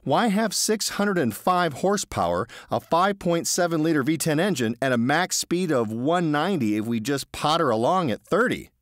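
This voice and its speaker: A man voicing himself as a reckless, cocky male thrill-seeker who talks brashly and excitably. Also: on the high side, normal speed, few pauses, dry recording, clean studio sound, voice pushed up. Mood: coaxing.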